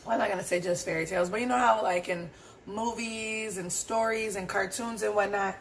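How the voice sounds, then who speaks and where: Hoarse woman musing on her podcast. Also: mid-range voice, fairly even intonation, fairly quick, a little hiss or hum, rough recording. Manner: rambling and earnest, with fillers. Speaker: podcast host. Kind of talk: casual solo reflection.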